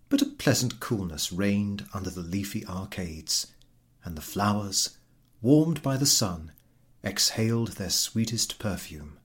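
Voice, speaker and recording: A man reading a literary story aloud as an audiobook narrator, with measured, theatrical phrasing. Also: lowish voice. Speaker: audiobook reader.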